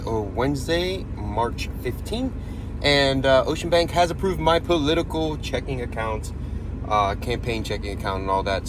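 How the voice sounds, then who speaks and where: A man filming a casual vlog of his run for county mayor, talking in a candid, rambling, self-deprecating way from his car and city streets. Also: mid-range voice. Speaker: vlogger running for county mayor. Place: inside a car, then outdoors downtown. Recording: street and car noise behind.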